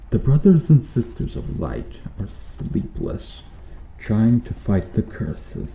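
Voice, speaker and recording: A man playing a bitter, sardonic medieval knight in a slow, intimate ASMR roleplay, speaking deliberately with quiet menace. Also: fairly low pitch, vocal fry, dull audio, heavily acted. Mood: bitter resentment. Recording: roomy echo with faint noise.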